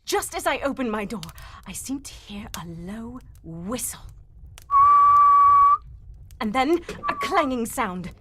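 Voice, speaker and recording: Casting: actress as proper, anxious young woman storyteller, high voice with big melodic swings, vintage radio-mystery melodrama. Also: heavy background noise. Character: Helen Stoner, proper anxious young Englishwoman telling her story. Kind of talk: live old-time radio mystery acting.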